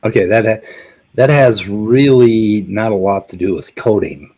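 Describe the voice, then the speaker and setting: Low husky man, dryly sardonic. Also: unhurried, darkish tone. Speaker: host. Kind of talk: casual panel discussion.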